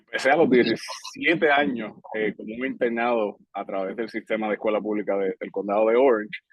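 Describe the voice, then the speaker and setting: A man, answering interview questions in a relaxed, measured way with frequent hesitations. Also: lowish voice, husky, dry recording. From Puerto Rico. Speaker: guest, public safety director. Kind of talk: casual interview talk. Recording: dry, slightly dull podcast audio.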